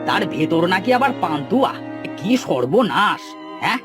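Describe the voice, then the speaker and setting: A man voicing an excitable, foolish young village brother obsessed with treasure, exclaiming and bickering in a cartoon. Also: high voice, moderate pace, loud music behind the voice. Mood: puzzled, bickering.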